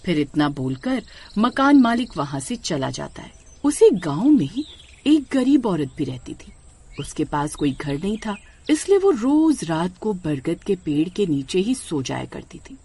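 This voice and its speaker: A woman's voice: lowish voice, dark tone, slightly rough. A woman narrating a scripted horror story as a storyteller.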